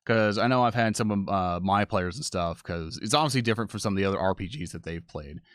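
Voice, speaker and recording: A man's voice: lowish voice, fast, a bit bright, no echo, studio quality. A man co-hosting a live stream, chatting casually and addressing the viewers as he goes. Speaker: co-host and social media producer of a live stream.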